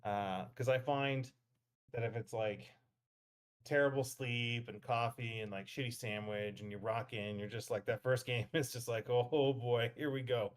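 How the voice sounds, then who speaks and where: A man in his thirties talks casually on a podcast panel. His pitch is average for a man, and he speaks in an informal, conversational way. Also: steady tone, moderate pace, clean tone. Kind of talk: casual chat.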